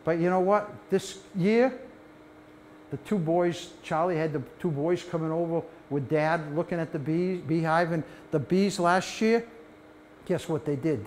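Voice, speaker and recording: Older man telling animated beekeeping stories. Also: fairly high pitch, a little hiss or hum. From United States. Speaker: beekeeping presenter. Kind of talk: library talk to an audience. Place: library meeting room, mid-sized, indoors.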